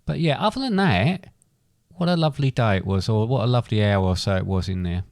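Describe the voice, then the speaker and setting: A middle-aged British man with a fairly low voice, chatting casually as a podcast co-host. The sound is dry and close, and his talk has a joking, bantering ease. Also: animated, fast talker, a little mellow, no echo. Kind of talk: casual chat.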